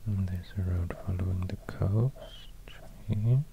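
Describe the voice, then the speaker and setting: A man with a Swedish accent giving soft-spoken, whispered ASMR in a hushed, halting way, close to a binaural microphone. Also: low-pitched, narrow, tinny audio, noisy background. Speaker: ASMR host.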